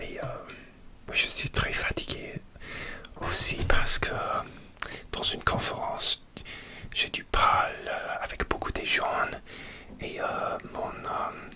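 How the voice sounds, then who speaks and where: A man whispering a casual ASMR chat, halting and hesitant, often pausing to search for words. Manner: halting, hesitant, many fillers and restarts; slips in grammar, searches for words. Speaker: ASMR creator.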